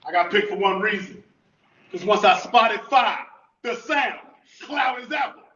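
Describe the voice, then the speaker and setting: Man, rapping loud and high-pitched, sharp tone, far from mic, roomy dull audio. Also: expressive, measured pace. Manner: shouted, high-energy delivery. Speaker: battle rapper performing a round. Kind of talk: battle rap performance.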